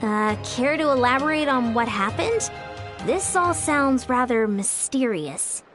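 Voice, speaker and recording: Earnest, high-voiced cautious young ally. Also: female voice, lively intonation. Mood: puzzled. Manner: measured, articulate, polite. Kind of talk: video game voice acting. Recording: faint music behind the voice.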